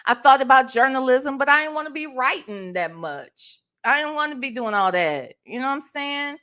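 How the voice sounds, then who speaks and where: Bright, high-voiced, sassy American woman. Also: normal speed. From Ohio, United States. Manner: animated, emphatic, sassy, conversational with tag questions. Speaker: livestream host. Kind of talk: casual storytime chat.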